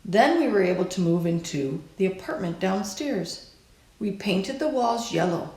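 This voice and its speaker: Woman, children's read-aloud, slow pace, expressive narration, reverberant room. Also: medium pitch. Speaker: storybook reader.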